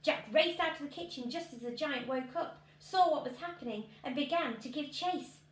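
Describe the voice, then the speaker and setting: A woman's voice: high-pitched, moderate pace. A woman, reading a children's story expressively. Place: living room at home, indoors. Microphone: camera a few feet away. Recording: echoey room with background noise.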